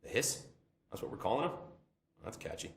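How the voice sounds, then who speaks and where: An actor playing a chatty, flustered security chief with an American accent, rambling informally in a video game. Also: male voice, fairly quick, natural voice. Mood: brisk, wry. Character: chatty, flustered male security chief, wisecracking under pressure. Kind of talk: video game cutscene dialogue. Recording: echoey room, faint noise.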